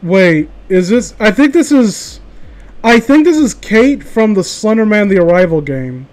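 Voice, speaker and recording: A man with a high-pitched voice chats casually about games as a streamer. He rambles through his thoughts in loose, conversational phrasing and curses freely. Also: animated, measured pace, darkish tone. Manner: profane, rambling. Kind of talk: casual gaming chat.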